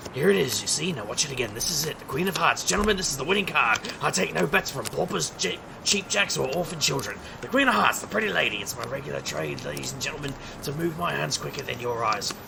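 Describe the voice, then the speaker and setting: Man playing a gravelly, cocky street magician sleuth. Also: fairly high pitch, expressive, brisk, background noise. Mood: showman patter. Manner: showman's sing-song patter, wry and theatrical. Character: male street magician private investigator, cocky, wisecracking trickster. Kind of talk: scripted audio drama acting.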